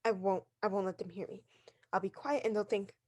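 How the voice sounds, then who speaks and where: An actress playing a neurotic, demanding invalid wife in a 1940s radio thriller, insistent, nervous and overwrought. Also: female voice, medium pitch, fairly even intonation, brisk, darkish tone, close to an everyday voice. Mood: terrified, desperate. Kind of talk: 1940s radio drama acting.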